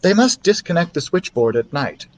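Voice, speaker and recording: A man's voice: a little high, big pitch swings, normal speed, pitched above the performer's own voice. A man voicing a worried, soothing mother in a children's storybook reading. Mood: concerned.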